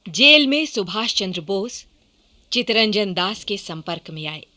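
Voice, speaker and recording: A woman's voice: medium pitch, expressive, a little slow, sharp tone. A woman narrating a historical radio play in a scripted, dramatic style.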